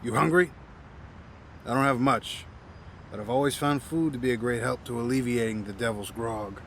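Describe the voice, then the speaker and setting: A man's voice: mid-range voice, normal speed, a little hiss or hum, lightly acted. An actor playing an easygoing, kindly homeless man in an audio drama, with a folksy, teasing manner. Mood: reassuring, friendly.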